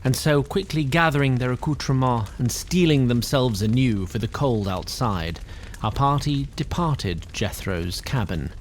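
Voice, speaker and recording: A man's voice: medium pitch, animated, unhurried, a little hiss or hum. A man narrating a fantasy audio drama in a wry storybook manner. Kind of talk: scripted narration.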